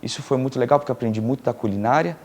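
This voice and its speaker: A middle-aged man from Brazil, a filmmaker and producer telling stories as a TV interview guest, animated and laughing often, with many fillers and restarts. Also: mid-range voice, rapid-fire. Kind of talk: casual storytelling in a TV interview.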